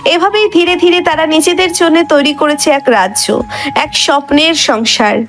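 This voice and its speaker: A woman's voice: sits high, moderate pace, fairly bright tone. A woman narrator reading a scripted dramatic story. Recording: background music under the voice.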